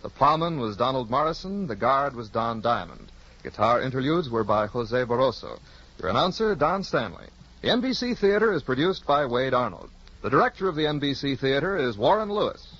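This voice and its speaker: A man's voice: mid-range voice, big pitch swings, a little fast, fairly bright tone. An American man announcing in formal, scripted 1950s network radio style, enunciating with care. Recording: dull old-time radio recording.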